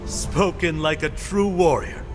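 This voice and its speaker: An actor playing a loyal, good-natured weaponsmith in a fantasy game, expressive and earnest. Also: male voice, fairly high pitch, unhurried, lightly acted. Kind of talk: dramatic fantasy game acting. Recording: music behind the voice.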